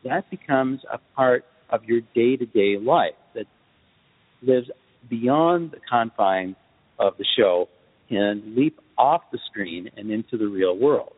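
A man answering interview questions as a guest, speaking in a measured, thoughtful way in short deliberate phrases. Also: medium pitch. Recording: muffled phone-like line with reverb tail.

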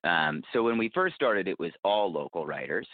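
A man's voice: sits low, animated, moderate pace, no echo. A man giving earnest, enthusiastic answers in a conversational interview as a podcast creator and guest, over a call. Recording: narrow, tinny phone-line sound.

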